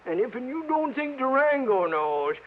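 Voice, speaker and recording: An actor playing a meddling, teasing old cowhand sidekick in a radio drama, with a cackling, stammering country drawl from the American West. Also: male voice, extremely high pitch, big pitch swings, measured pace, exaggerated voice. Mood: sly teasing. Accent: rural American West. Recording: muffled, hissy old radio recording.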